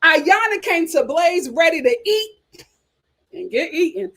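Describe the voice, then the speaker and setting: A woman with an American accent and a high voice hosts a casual chat. Her pitch swings up and down in a lively way as she reads aloud and comments. She drops in playful, teasing asides as she goes. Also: unhurried. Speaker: host of a reality TV chat show.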